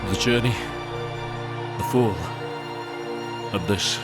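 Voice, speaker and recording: A man's voice: lowish voice, takes it slowly. An actor playing an anguished, remorseful male space explorer in an audio drama, with earnest, emotional delivery. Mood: remorseful, resolute. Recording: loud music under the voice.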